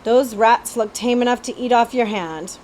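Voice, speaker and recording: A young rat-catcher boy, read by a woman from a storybook. He sounds guarded, stiff and plainspoken in a fairly high voice, answering in clipped phrases. The recording has faint background noise. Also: normal speed, darkish tone, lightly acted.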